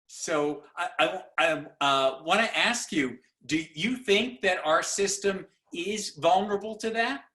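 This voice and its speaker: A man moderating a panel over a video call, speaking haltingly with many fillers and restarts. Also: sits high, sharp tone.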